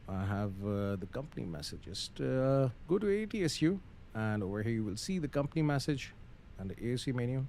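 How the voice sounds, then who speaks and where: A man's voice: mid-range voice, big pitch swings, brisk, creaky, a little mellow. A man giving casual live-stream commentary on a flight simulator, muttering through procedures hesitantly with some radio phraseology. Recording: faint background noise.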